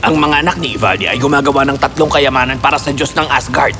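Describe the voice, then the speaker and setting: A man's voice: mid-range voice, fast, lightly acted. A man playing a sly, mischievous trickster god in a fairy tale, with theatrical, scheming delivery. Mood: sly, coaxing. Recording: loud background music.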